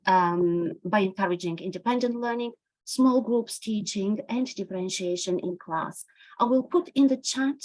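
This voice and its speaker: A woman's voice: mid-range voice, rough recording. A woman in her forties from Bulgaria with a Bulgarian accent, presenting an online teaching webinar with frequent hesitations and fillers. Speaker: EAL specialist presenting a webinar.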